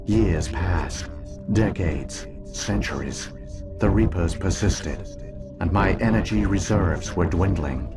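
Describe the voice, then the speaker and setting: An actor voicing an ancient alien artificial intelligence in a video game, speaking in a formal, measured, detached way. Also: male voice, sits low, over music. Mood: solemn, measured. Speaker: exposition-giving game character. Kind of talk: scripted video game dialogue.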